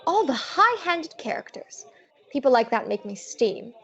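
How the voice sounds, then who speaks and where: A woman's voice: sits high, very animated, measured pace, creaky, fairly bright tone, slightly rough, highs cut off, heavily acted. A woman playing a wisecracking female private detective who narrates her case in slangy old-time radio style. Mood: indignant. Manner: wry, slangy hard-boiled storytelling.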